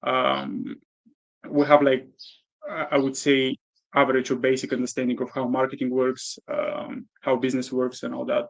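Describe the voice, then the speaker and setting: A man from Bulgaria answering interview questions candidly, with frequent pauses and fillers. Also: mid-range voice, vocal fry, poor audio. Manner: candid, hesitant with many "uh"s. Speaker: guest, e-commerce entrepreneur.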